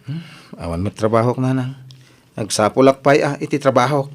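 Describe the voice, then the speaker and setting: A man playing a hesitant, apologetic younger brother and idle boyfriend in a comedy drama, speaking in a halting, sheepish way. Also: mid-range voice, close to an everyday voice.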